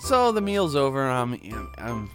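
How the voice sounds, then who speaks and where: Stuffy-nosed male co-host, lively, jokey storyteller. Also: medium pitch, normal speed, music in the background. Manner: jokey, laughs at his own story. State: stuffy nose from a cold. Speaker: podcast co-host. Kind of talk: casual chat, storytelling.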